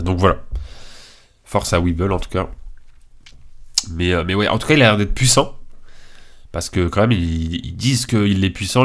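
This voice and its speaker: A young man with a head cold giving casual, slangy tier list commentary in a hesitant, informal way. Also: deep voice. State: congested from a cold. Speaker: video creator.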